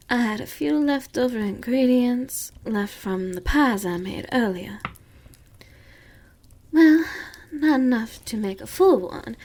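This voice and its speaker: A woman playing a sweet, comforting young farmer's daughter with a Southern US accent in a gentle, folksy ASMR roleplay. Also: fairly high pitch. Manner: gentle, folksy, reassuring, giggly. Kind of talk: ASMR roleplay, intimate and slow.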